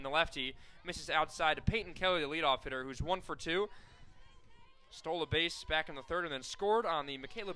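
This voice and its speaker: A young man calling softball play-by-play for college radio, correcting himself often and surging loud on big plays. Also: a little high. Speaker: play-by-play announcer.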